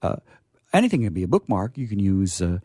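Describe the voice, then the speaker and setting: A man's voice: low-pitched, sing-song, a little fast, dark tone, no room sound, studio quality. A man hosting an informal live talk, hesitant and repeating his phrases, with playfully formal turns. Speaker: library staffer hosting a discussion.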